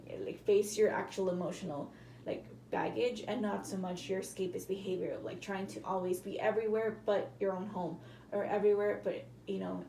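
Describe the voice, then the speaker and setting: A woman with a hoarse, cracking voice, giving a casual tarot reading to viewers and often apologizing. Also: mid-range voice, dark tone, roomy sound, a little hiss or hum, poor audio. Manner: chatty, apologetic, hedging with fillers. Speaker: tarot reader.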